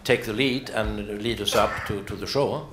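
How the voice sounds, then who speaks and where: A man's voice: on the low side, normal speed, a bit bright, a little hiss or hum. A man moderating a conference session, speaking hesitantly with many uhs. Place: conference room in a museum. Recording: roomy hall sound.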